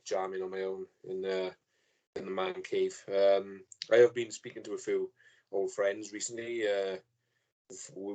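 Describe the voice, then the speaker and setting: A man's voice: sits low, darkish tone, low-quality recording. A man from Wales with a Welsh accent, a podcast guest chatting in a friendly, slightly hesitant way. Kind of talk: casual chat.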